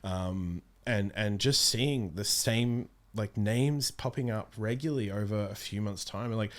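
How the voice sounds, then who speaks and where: A man's voice: lowish voice, a little slow. A young man speaking casually as a guest in an interview. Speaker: guest, amateur voice actor and streamer. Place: small home streaming room, indoors.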